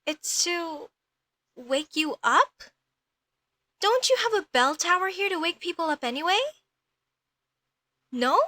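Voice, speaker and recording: A woman playing a curious, outspoken young female elf mage in a comedic audio roleplay, questioning things in a halting, slightly haughty way. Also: extremely high pitch, lively intonation, pushed and bright, no echo, exaggerated voice. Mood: curious, puzzled.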